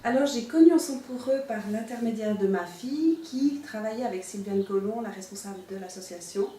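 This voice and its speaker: Woman, fifties, soft mellow timbre, interview, echoey, faint noise. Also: on the high side, fairly quick. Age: 50s. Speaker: volunteer, trained secretary. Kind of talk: filmed testimonial interview. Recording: roomy, distant mic, faint noise.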